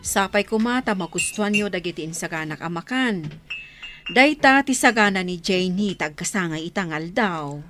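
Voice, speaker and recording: A woman's voice: fairly low pitch, animated, moderate pace, a bit bright. A woman playing a hospitable, caring family woman in an Ilocano radio drama, with an Ilocano accent. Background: music.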